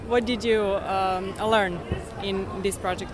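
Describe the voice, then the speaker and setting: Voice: mid-range voice, fairly even intonation, takes it slowly. Interviewer asking questions in broken English. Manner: non-native English, broken grammar. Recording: noisy street with crowd.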